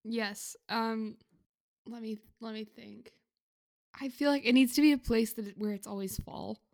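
Female podcast host, slightly high voice, casual comedic conversation. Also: steady tone, unhurried, vocal fry, darkish tone.

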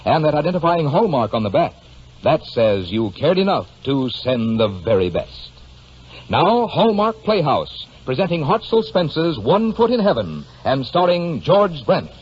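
A man's voice: fairly high pitch, very animated, measured pace, slight background noise. A man announcing in a polished, declamatory 1940s radio style from a script. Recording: muffled old radio broadcast. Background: prominent music.

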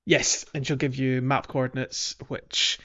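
A man's voice: mid-range voice, little pitch movement, a little slow, sharp tone, highs cut off. A man hosting a podcast, talking casually through a video game in a hesitant, explanatory way with colloquial British phrasing. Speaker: podcast host.

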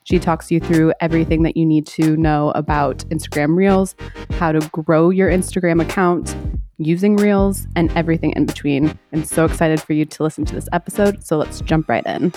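Bubbly lady podcast host, lowish voice, some faint music underneath. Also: a little mellow. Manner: bubbly and enthusiastic. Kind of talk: casual podcast interview.

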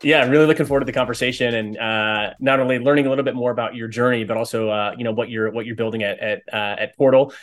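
A man hosting a podcast, reading a scripted intro and then chatting with a guest in a brisk, upbeat way. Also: medium pitch, fairly even intonation. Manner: brisk and upbeat, with fillers and restarts when unscripted. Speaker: podcast host. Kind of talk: scripted intro read, then casual interview.

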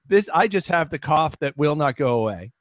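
A man hosting a podcast, his voice worn raspy by a lingering cough. He sits a touch high in pitch and talks in a loose, chatty way, stumbling and repeating words. The sound is dry and close. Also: expressive, normal speed, no echo. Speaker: podcast host. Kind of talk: casual podcast chat.

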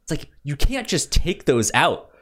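Halting, animated man with frequent stammers. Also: on the high side, breathy edge. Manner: halting, stammering, repeats and stumbles over words. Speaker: podcast co-host. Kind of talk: casual film discussion chat.

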